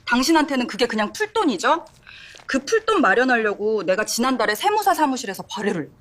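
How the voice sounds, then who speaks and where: A woman's voice: a little high, sing-song, a little fast, fairly bright tone. A woman voicing a cold, resolute wife in an audio drama, firm and cutting. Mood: bitter. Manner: firm, cutting, clipped replies.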